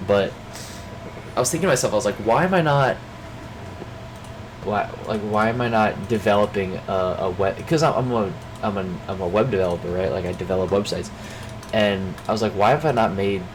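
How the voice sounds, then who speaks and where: A young man with a fairly low voice and a stuffed-up nose, chatting off the cuff in a loose daily check-in. He rambles, restarts his sentences and leans on filler words. An air conditioner hum and background noise sit under his voice. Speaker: vlogger.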